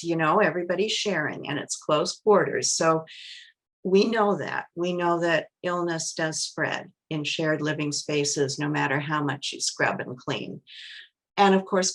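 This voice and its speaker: Lowish-voiced woman, relaxed webinar presenter, conversational. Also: poor audio. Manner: relaxed, chatty, with fillers.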